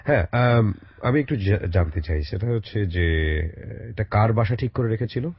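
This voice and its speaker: A man with a Bangladeshi accent and a husky voice, interviewing a guest as the host of a life-story radio show. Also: lowish voice, expressive, moderate pace, no echo, lo-fi sound. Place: radio studio.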